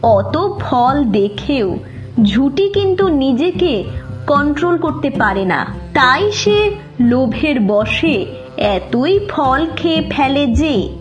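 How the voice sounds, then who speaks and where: A woman's voice: high voice, very animated, takes it slowly, faint music behind. A woman narrating a scripted children's story.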